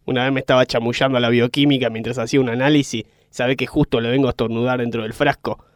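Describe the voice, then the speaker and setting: A man's voice: medium pitch, fairly even intonation, fast, no room sound, clean studio sound, lightly acted. A man with an Argentine accent playing a cheeky, slangy hospital stretcher-bearer in a radio comedy sketch. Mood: chummy, casual. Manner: slangy, chummy.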